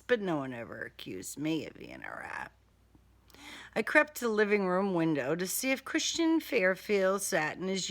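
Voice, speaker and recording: A woman's voice: on the low side, fairly bright tone. A woman reading a first-person crime thriller audiobook in a dramatic, wry manner. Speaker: author reading own novel excerpt.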